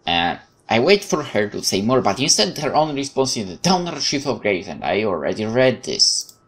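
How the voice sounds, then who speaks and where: A man's voice: mid-range voice, a bit bright. Polish-accented man reading game narration expressively. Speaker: game streamer. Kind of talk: reading game narration aloud with brief commentary.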